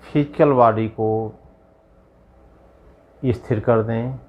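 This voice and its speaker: A man guiding a yoga nidra meditation as a yogi, giving measured instructions in short, spaced phrases. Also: medium pitch, fairly even intonation, muffled recording. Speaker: yogi leading guided meditation.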